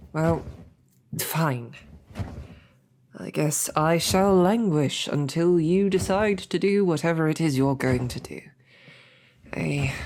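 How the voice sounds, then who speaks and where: A woman's voice: low-pitched, darkish tone, slight background noise. A woman voicing a snarky, formal captured royal knight in an audio roleplay. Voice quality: formal, wordy and wry. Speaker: audio drama performer.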